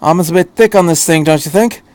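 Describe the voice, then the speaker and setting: A man voicing an eccentric, flippant, fast-thinking male adventurer in a story reading, chattering breezily with little hesitations. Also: on the high side, darkish tone, no room sound, pitched above the performer's own voice, close to an everyday voice. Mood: wry, unbothered. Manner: hesitant filler-laden chatter, breezy understatement.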